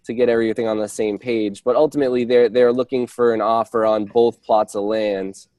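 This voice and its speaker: A man with a relaxed, mellow voice at a middle pitch gives an informal report as a real estate agent on a work call. He speaks haltingly and restarts his sentences often. The sound is dry, with little room around it. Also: no room sound. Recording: dry conference call audio.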